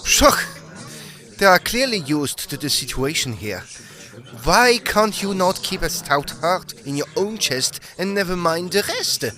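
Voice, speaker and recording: A man playing an excitable, theatrical member of a touring opera company in a period farce. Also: high-pitched, unhurried, pauses often, heavily acted. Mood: exasperated. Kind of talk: period farce audio drama.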